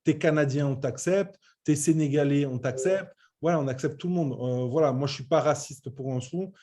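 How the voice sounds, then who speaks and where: Guy late 30s, relaxed mellow voice, pitching business online, repeats himself a lot, emphatic but hesitant. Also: a little fast. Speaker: web entrepreneur presenting a training programme. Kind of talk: informal online business presentation.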